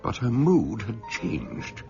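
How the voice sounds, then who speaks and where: A man's voice: on the low side, big pitch swings, takes it slowly, gravelly, a little mellow. A man narrating a radio drama in scripted storytelling style. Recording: dry, slightly muffled, faint music.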